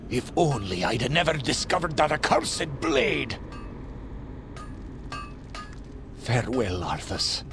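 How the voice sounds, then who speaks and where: Slow, gruff dwarf. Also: male voice, medium pitch. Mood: regretful. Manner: wistful, fatherly. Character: gruff, sorrowful old dwarf warrior mentor. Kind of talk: fantasy game cutscene acting. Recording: loud music under the voice.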